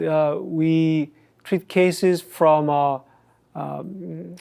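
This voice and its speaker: A man's voice: on the high side, dark tone, clean tone. A middle-aged man who grew up in Chile and Peru, an orthodontist explaining his work in a studio interview, speaking with many fillers and restarts. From Korea, raised in Chile and Peru. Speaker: orthodontist guest.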